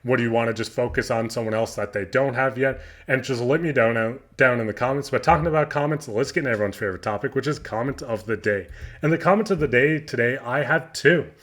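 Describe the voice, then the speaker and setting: A man with a voice of ordinary pitch hosts a hockey news show. He is hoarse, his voice is going, and he talks in a loose, eager fan's way as he works through quotes and adds his own take. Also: fast talker, fairly bright tone. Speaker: hockey news channel host. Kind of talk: sports news commentary.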